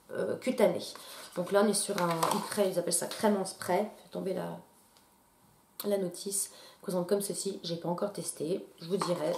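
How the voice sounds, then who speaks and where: A woman's voice: mid-range voice, dark tone. Stuffy-nosed woman chatting about beauty products. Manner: chatty, many fillers and restarts. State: stuffy nose from a cold. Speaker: beauty YouTuber. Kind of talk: casual product haul talk to camera. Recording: echoey room.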